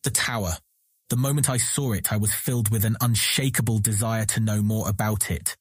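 An actor playing a captured thief, earnest, proud and eloquent, speaking formally and measuredly in a fantasy audio drama. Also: male voice, on the low side, steady tone, a little fast, breathy edge, dry recording, studio quality. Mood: solemn sincerity.

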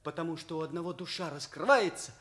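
An actor playing an earnest, dreamy teenage schoolboy in a Soviet radio play, gushing and excitable. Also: male voice, a little high, quick pace, close to an everyday voice. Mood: rapturous. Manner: gushing, eager.

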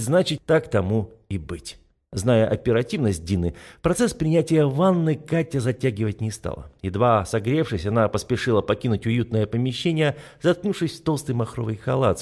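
Male audiobook narrator, mid-pitched voice. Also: a little mellow. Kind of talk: scripted fiction narration.

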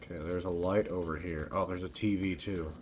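A man's voice: low voice, fairly even intonation, a little fast, a little mellow, slight background noise. A man streaming a video game with casual, muttered commentary and frequent swearing. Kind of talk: casual let's play commentary. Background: video game ambience.

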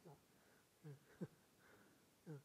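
A middle-aged man with a Chinese accent, speaking as a guest lecturer in a lecture hall. His voice is mellow and soft-edged, picked up on a lapel mic. He keeps pausing on "uh" and restarting his phrases as he works through an answer. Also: slight background noise. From China. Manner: halting, with frequent "uh" fillers and restarts. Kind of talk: answering audience questions in a talk.

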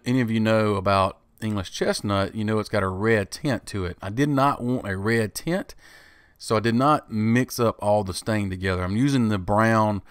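A middle-aged man with a fairly low voice talks through a home woodworking project in a relaxed, matter-of-fact way. He sounds like a host narrating his own tutorial. The recording is close and dry, with no room sound. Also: smooth, no echo. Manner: plain, easygoing step-by-step explaining. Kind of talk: DIY tutorial voice-over.

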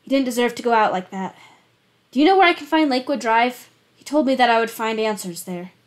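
A woman's voice: a little high, animated, brisk, close to an everyday voice. A woman voicing a sarcastic female scientist in a storybook reading. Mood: upset. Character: sarcastic female scientist driving a getaway car.

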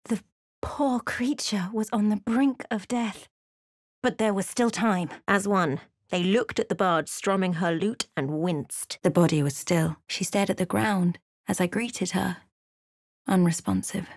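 A woman voicing a solemn adventurer who narrates a fantasy game journey in a measured storytelling manner. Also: medium pitch, dry recording, studio quality.

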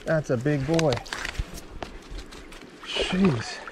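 A man filming himself fishing on a river bank with a chest-mounted camera, excitedly calling out to viewers as he fights and lands fish. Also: on the high side, very slow, background noise. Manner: exclaims often, talks to viewers. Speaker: fishing vlogger. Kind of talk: excited casual commentary while fishing. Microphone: chest or head mounted action camera.

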